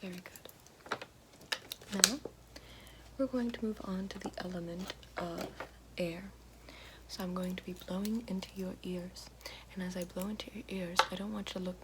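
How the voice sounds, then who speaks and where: A woman playing a calm, gentle witch in an ASMR roleplay, whispering slowly and soothingly right at the mic and repeating soft praise. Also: lowish voice, natural voice. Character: calm gentle female witch guiding elements. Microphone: close binaural-style mic. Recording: noisy hiss behind voice.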